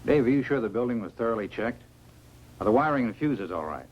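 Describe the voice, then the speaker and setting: An actor playing a grave, authoritative doctor and scientist in a 1950s science fiction film. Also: male voice, medium pitch, expressive, fast, dull audio, close to an everyday voice. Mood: concerned. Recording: old film soundtrack with music underneath.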